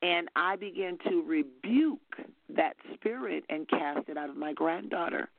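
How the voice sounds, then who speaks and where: Older woman, gravelly fervent testimony over phone. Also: lowish voice, animated, unhurried, dry recording. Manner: fervent, storytelling, soft-spoken. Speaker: minister giving testimony. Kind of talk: spoken testimony on a call-in broadcast. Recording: phone-line audio.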